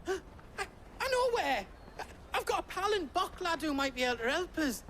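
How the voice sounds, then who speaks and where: An actor playing an anxious, bumbling trader in a video game, with a Northern English accent and a flustered, stammering way of talking. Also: male voice, extremely high pitch, big pitch swings, normal speed, a bit bright, slight background noise, heavily acted. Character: anxious, bumbling, soft-hearted young trader.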